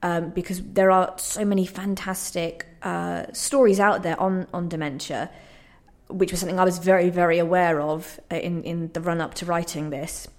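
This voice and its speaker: A woman's voice: on the low side, flat delivery, moderate pace. A woman speaking earnestly and reflectively as a playwright being interviewed in conversation on the radio.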